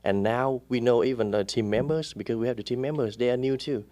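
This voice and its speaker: A man, a real estate agent speaking as a guest in a casual interview, who talks haltingly and often pauses to search for words. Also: mid-range voice, mellow.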